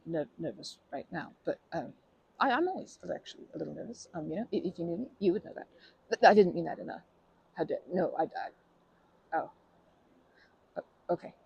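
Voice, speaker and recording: A performer voicing a timid, anxious, kind park-goer in an ASMR roleplay, halting and over-apologetic, with a breathy, creaky voice. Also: mid-range voice, exaggerated voice, poor audio. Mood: nervous, flustered. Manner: halting, stammering, over-apologetic, nervous laughter. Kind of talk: ASMR roleplay, improvised-sounding monologue to listener.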